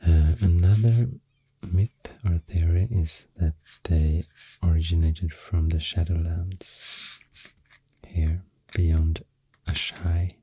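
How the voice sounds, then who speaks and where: A man with a Swedish accent speaking softly and breathily, often close to a whisper, in a soothing ASMR ramble, close to the microphone. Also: fairly even intonation, rough recording. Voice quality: deep, soft, breathy, often near whisper. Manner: slow, hushed, soothing. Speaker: ASMR host. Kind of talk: soft-spoken ASMR rambling.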